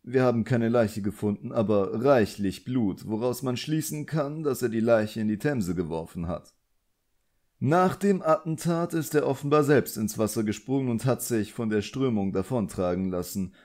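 A man's voice: mid-range voice, expressive, normal speed, a little mellow, voice pushed up, natural voice. A man voicing an elegant, condescending villain sorcerer in an audiobook, suave and politely commanding. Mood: smooth, authoritative. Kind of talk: audiobook character acting.

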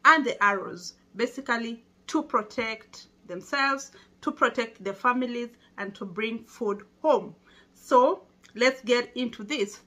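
A woman's voice: a little high, sharp tone. A young Kenyan woman with a Kenyan accent, talking casually to camera in a small indoor room and patiently explaining things. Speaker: vlogger.

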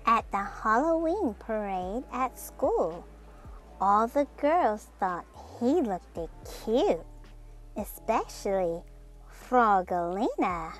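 Slow, very lively woman storyteller. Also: a little high. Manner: expressive, with drawn-out dramatic words and sound effects. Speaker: children's book reader. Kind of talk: animated read-aloud storytelling. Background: music.

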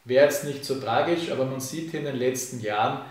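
A man's voice: mid-range voice, fairly quick. Guy in his 30s explaining money stuff, lots of uhs, mic kinda far, very roomy echo. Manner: relaxed, frequent fillers and "eben", hand gestures. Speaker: finance YouTuber. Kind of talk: casual explainer to camera. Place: home office with bookshelves, indoors. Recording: echoey room, distant mic.

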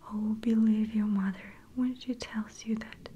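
A soft-spoken widowed mother from an old storybook pleads gently with her son. A woman reading aloud voices her in a slow, mellow whisper that never rises above a breath. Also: mid-range voice, fairly even intonation, dull audio, slight background noise, natural voice. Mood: tender.

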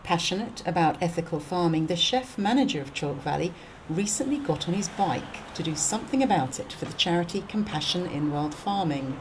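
A woman reporter narrating a local news feature with a brisk, light-hearted delivery. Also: fairly low pitch, animated, background noise.

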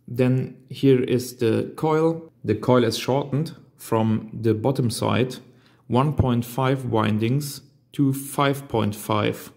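A man in his thirties presenting a hands-on DIY tutorial with halting, step-by-step instructions in simple non-native English. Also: medium pitch, vocal fry, darkish tone. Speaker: amateur radio hobbyist presenter. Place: hard-walled room indoors at a table. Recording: roomy, echoing indoor sound.

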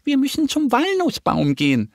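Man putting on a high-pitched eager little duck. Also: wildly swooping pitch, normal speed, vocal fry, no echo, clean studio sound, exaggerated voice. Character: excitable little girl duck, helpful and eager. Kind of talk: children's audio story.

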